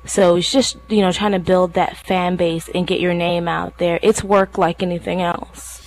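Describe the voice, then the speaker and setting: Female singer being interviewed, lowish voice, casual conversational delivery. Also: little pitch movement, moderate pace, darkish tone, rough recording. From Thailand.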